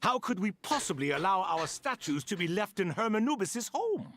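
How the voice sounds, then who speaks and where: A man voicing an excitable, grumbling old-timer guardian in a video game, theatrical and emphatic. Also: high-pitched, normal speed, exaggerated voice. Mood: indignant.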